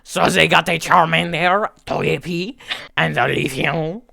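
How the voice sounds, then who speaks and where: A man's voice: fairly high pitch, fairly even intonation, unhurried, gravelly, sharp tone, voice pushed up, full character voice. A man voicing a sweetly cheerful, childlike and slightly menacing Russian man in a comic story, with a Russian accent.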